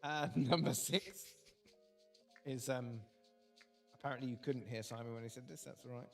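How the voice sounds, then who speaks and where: A man hosting a casual live quiz, dry and wry, often pausing with ums. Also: mid-range voice, fairly even intonation, vocal fry, a bit bright, soft background music. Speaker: quiz host.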